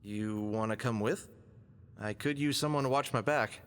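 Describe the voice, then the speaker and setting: A man's voice: on the low side, normal speed, clean tone, lightly acted. A man playing a confident, wisecracking fox adventurer in an audio drama, quippy and self-assured in his delivery. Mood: resolved, breezy. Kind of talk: scripted audio drama dialogue. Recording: long hall-like reverb.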